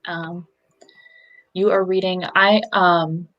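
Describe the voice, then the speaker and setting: A young woman with a slightly low voice chatting casually on a book livestream. She rambles along in an easygoing way, filling her sentences with likes and ums. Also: level tone, slow, a bit bright, muffled recording. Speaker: co-host of a readathon livestream. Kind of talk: casual chat.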